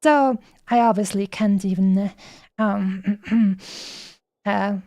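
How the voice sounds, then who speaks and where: A woman with a mellow, mid-pitched voice and plenty of vocal fry chats casually as she streams a game. She muses and rambles playfully into a close, dry microphone. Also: slow, dry recording. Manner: musing, playful, rambling asides. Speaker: streamer playing a video game. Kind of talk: casual livestream chat and commentary.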